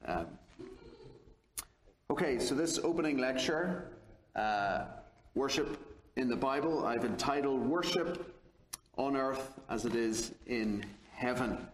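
A man from Northern Ireland with a Belfast accent, giving a theology lecture in a measured, deliberate way with touches of dry humor. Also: mid-range voice, animated, slight background noise. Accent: Belfast, Northern Irish. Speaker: guest lecturer. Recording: echoey lecture hall.

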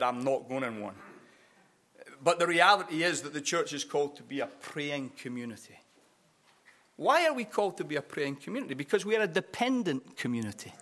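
Bright-voiced Scottish pastor preaching. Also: male voice, on the high side. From Scotland. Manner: earnest preaching with repeated restarts, rising to emphatic peaks. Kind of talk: sermon.

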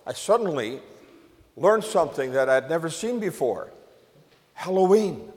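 An older man from the Netherlands preaching a sermon to a congregation, measured, with emphatic rises on key words. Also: a little high, expressive, darkish tone, big-room sound. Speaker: pastor.

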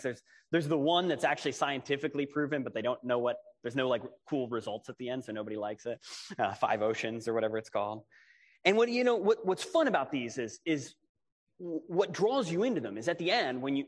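Man, conversational preacher, big projecting voice, swooping intonation, casual self-deprecating manner, long hall reverb. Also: mid-range voice. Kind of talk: conversational sermon to a congregation. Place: large reverberant room.

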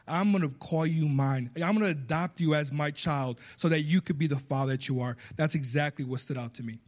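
A man's voice: on the high side, a little fast. A man from Puerto Rico with a slight Puerto Rican Spanish accent, preaching a heartfelt sermon as a guest preacher. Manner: earnest, heartfelt, builds up emphatically.

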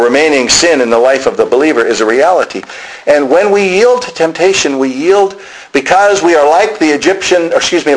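A man's voice: on the high side, low-quality recording. A man preaching a sermon, explaining to listeners directly and repeating key phrases for emphasis.

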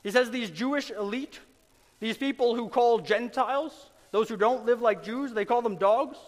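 High-voiced man preaching in a reverberant hall. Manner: earnest, emphatic preaching with dramatic pauses. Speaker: pastor. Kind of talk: sermon.

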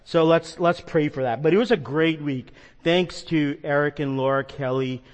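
Man, pastor, earnest conversational sermon, somewhat high pitch, long church reverb. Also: normal speed. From New York metro area. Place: church sanctuary.

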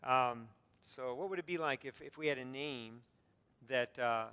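A man preaching a sermon as pastor, earnest, with light self-deprecating humor, frequent fillers and restarts, and a voice he himself calls squeaky. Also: a little slow, sharp tone, clean tone. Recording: long reverb from a hall.

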